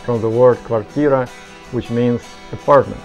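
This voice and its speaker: A man's voice: medium pitch, steady tone, normal speed, faint music behind. A man giving explanatory, semi-scripted narration as a video presenter. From Soviet Union.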